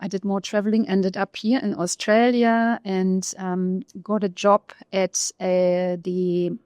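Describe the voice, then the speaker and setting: German-accented woman economist, reflective guest, mid-pitched, dead-dry mic. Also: little pitch movement, darkish tone, clear, resonant tone, no room sound. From East Germany. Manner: thoughtful, pauses often with fillers. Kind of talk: podcast interview, career story.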